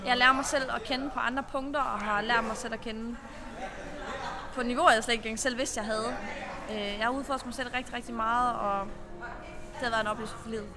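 A woman's voice: a little high, fairly even intonation, crowd noise. A bold, self-assured woman in her twenties from Aarhus, with a Danish accent, answering interview questions to camera at an event. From Denmark. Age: 20s. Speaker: reality show contestant. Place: event backdrop, indoors. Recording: loud music behind.

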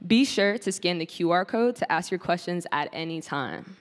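A woman's voice: on the low side, expressive, moderate pace. A young woman moderating a stage Q&A, reading prepared questions in careful, formal phrasing. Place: large auditorium stage. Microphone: handheld mic. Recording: long reverb of a large hall.

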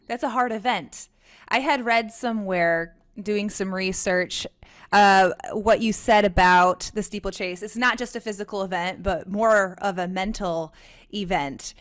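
A woman, interviewing conversationally as a podcast host into a close desktop microphone, with an animated manner. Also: mid-range voice, measured pace. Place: living room indoors. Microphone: desktop microphone close in front.

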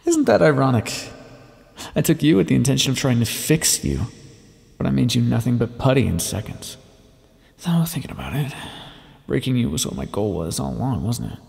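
A man playing a weary, guarded scientist captor in an intimate ASMR roleplay, speaking in a dry, brusque, halting manner. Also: mid-range voice, sing-song, gravelly, a little mellow, breathy edge, heavily acted. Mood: wry, bitter. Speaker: audio roleplay performer. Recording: long reverberant echo.

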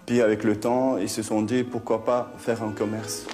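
A man with a fairly low voice explains things steadily, often restarting on the same word. Clear music plays under him throughout, as in a reportage. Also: little pitch movement. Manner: measured and explanatory, with repeated words. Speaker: interviewee. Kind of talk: documentary interview.

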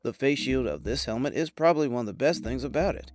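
A man reading scripted product review narration in a measured, promotional way. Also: medium pitch, animated, a little mellow. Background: background music.

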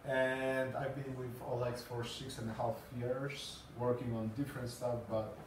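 A man in his thirties speaks English with a Polish accent, stopping often on fillers and repeating words as he presents. He sounds far from the mic in an echoey room. His pitch sits in the middle of the range. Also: little pitch movement, moderate pace, a little hiss or hum. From Poland. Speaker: conference speaker. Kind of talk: presentation talk. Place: small meeting room with green walls and a screen. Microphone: far camera, no mic seen.

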